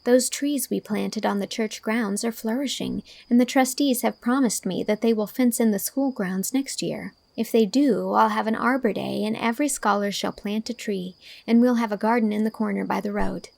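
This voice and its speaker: A woman voicing an earnest, impulsive young schoolteacher in audiobook dialogue, eager and high-minded. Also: normal speed, gravelly, few pauses, a little mellow, no echo. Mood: hopeful.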